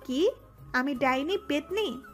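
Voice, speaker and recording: Woman acting very high-voiced, hot-tempered young woman. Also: expressive, slow, fairly bright tone, faint music behind, exaggerated voice. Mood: furious. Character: hot-tempered young woman, jealous, sharp-tongued fiancée. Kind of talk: dramatised romantic audio story.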